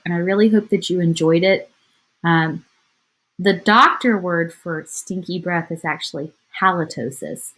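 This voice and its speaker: Low-voiced woman reading to children. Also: low-quality recording. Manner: expressive storytelling for children. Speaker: elementary school teacher reading aloud. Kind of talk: children's picture-book read-aloud with asides.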